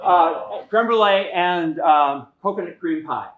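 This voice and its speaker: A man hosting a memorial gathering who speaks in a relaxed, chatty way as he thanks everyone and invites them to stay. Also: high voice, takes it slowly, phone-quality sound. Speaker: event organizer. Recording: echoey room with background noise.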